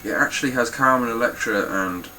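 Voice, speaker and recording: A man's voice: mid-range voice, fairly quick, sharp tone, a little hiss or hum, low-quality recording. A man with a stuffy, nasal voice giving a casual, blunt spoken review of his game collection, with frequent ums and some swearing.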